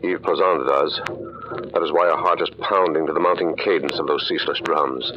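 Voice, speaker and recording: A man's voice: extremely low pitch, steady tone, brisk, noisy background. A man narrating a 1940s radio drama in a portentous, suspenseful, dramatic manner. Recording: muffled old radio recording with music.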